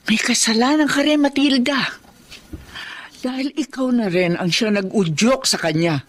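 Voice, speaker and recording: A woman's voice: on the high side, unhurried. An actress with a Filipino accent playing an earnest, defensive middle-aged mother-in-law in a radio melodrama. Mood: defensive, hurt. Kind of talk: Filipino radio drama acting.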